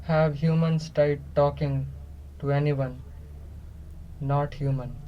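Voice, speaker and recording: A man playing a bewildered, childlike man in an experimental radio play, asking basic questions in halting, stammered fragments. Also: fairly high pitch, steady tone. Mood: halting puzzlement. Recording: slightly noisy, muffled room recording.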